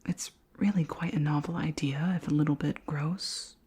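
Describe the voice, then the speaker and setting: A woman playing a curious, flirty alien queen in an ASMR roleplay, speaking in a soft, breathy whisper with formal, gently clinical politeness. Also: low voice, fairly even intonation. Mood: fascinated. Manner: slow, gentle, formal questioning.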